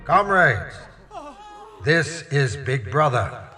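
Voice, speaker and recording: An actor playing a commanding totalitarian leader in an audio drama, declaiming grandly to the masses. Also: male voice, medium pitch, big pitch swings, extremely slow. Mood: grandiose, commanding. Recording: long hall reverb, loud music and crowd.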